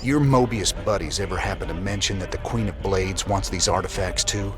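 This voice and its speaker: Guy doing a gruff low rebel commander, tired and wry, game cutscene w music behind. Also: brisk, natural voice. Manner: laconic, weary, sardonic. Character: gruff, world-weary male rebel commander, brooding and loyal. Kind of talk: video game cinematic acting.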